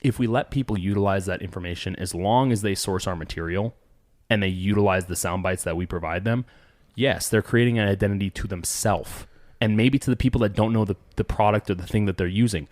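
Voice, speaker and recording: A man's voice: lowish voice, a little fast, a little mellow, no room sound, studio quality. A man co-hosting a podcast, debating in casual talk, often restarting sentences and pushing his point. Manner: argumentative, restarts sentences, rising when pressing a point. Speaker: podcast co-host.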